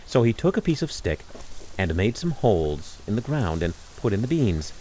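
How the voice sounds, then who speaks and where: A man's voice: lowish voice, very animated, normal speed, warm tone, slight background noise, rough recording. A man narrating a scripted fairy tale as a storyteller.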